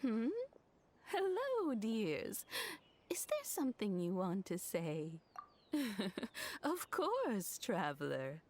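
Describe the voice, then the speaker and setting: An actress playing a kindly, genteel older woman who speaks gently and politely in a video game. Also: mid-range voice, wildly swooping pitch, slow pace, a little hiss or hum. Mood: kindly, measured. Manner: gentle, polite, addresses listeners as dears.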